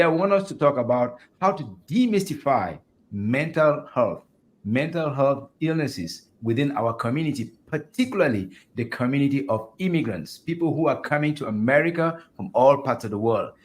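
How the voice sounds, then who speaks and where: This is an enthusiastic man from Africa hosting a virtual fireside chat, and he talks in a chatty way. His voice sits at an ordinary pitch for a man and comes through a video call. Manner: enthusiastic, chatty, laughs easily. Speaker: host.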